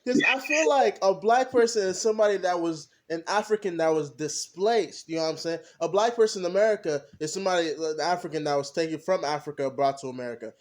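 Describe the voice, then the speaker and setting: A young man co-hosting a podcast, talking casually and haltingly, often stopping to search for his words. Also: high voice. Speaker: podcast co-host. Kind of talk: casual discussion.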